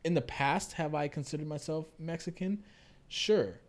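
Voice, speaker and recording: A man's voice: on the high side, moderate pace. A man co-hosting a casual podcast chat, explaining his views at length with restarts and the odd laugh. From United States. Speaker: podcast co-host.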